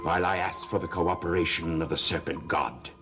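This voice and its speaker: A man's voice: low voice, fairly even intonation, a little fast, close to an everyday voice. An actor playing a scheming man who wheedles a servant into helping him, in a 1960s soap opera. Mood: insinuating. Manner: insinuating, wheedling. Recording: old TV soundtrack with loud music.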